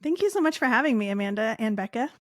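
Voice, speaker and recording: A woman's voice: fairly high pitch, animated, fast, no echo, studio quality. A woman, a guest author, chatting casually in an interview.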